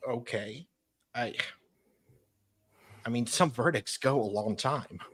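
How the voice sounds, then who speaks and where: A man with a husky voice co-hosting a casual discussion and reading emails aloud. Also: mid-range voice, slow pace, a bit bright.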